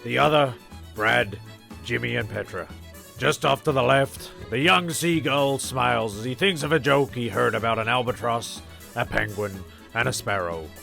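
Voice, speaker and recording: A very gravelly, crackling voice over faint music: a man as the narrator of a castaway comedy. He is deadpan and wry, with a forceful edge as he tells the tale. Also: medium pitch, moderate pace. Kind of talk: scripted comic narration.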